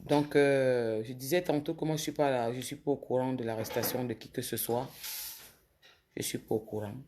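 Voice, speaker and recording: Hoarse woman scolding live viewers. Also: rumbling low, fairly even intonation, moderate pace, dark tone, a little hiss or hum. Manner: indignant, scolding commenters directly. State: sick with a cold, a bit hoarse. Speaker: social media livestreamer. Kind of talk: casual livestream talk to viewers.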